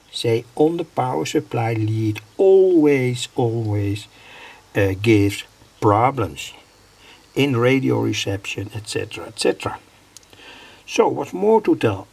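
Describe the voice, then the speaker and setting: A man giving an unscripted, halting demonstration as a hobby presenter, rambling and letting his phrases trail off. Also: mid-range voice, gravelly, darkish tone, husky. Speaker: hobby radio builder and video host.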